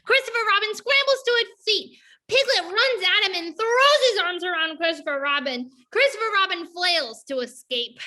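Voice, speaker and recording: A woman's voice: very high-pitched, moderate pace, sharp tone, a little hiss or hum. A woman reading stage directions aloud as scripted narration for a dramatized play reading.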